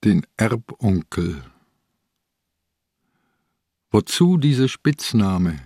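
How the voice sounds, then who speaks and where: An actor playing an ailing old police inspector in a German radio crime drama, calm, probing and relentless in a deliberate way. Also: male voice, lowish voice, no room sound, studio quality, exaggerated voice. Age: older.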